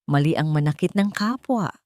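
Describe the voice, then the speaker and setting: A woman voicing a gentle, caring female guardian in a romantic audio story, soft-spoken, calm and reassuring. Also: low voice, normal speed, dry recording, clean studio sound.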